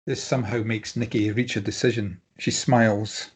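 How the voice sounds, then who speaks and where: A man's voice: on the low side, fairly even intonation, normal speed, slightly rough. A man reading stage directions aloud as scripted narration at an informal drama table read.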